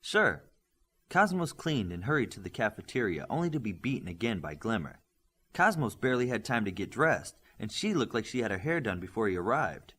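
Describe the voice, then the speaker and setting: A man's voice: fairly low pitch, big pitch swings, fairly quick, a bit bright, husky. A man reading scripted fiction as an audiobook narrator.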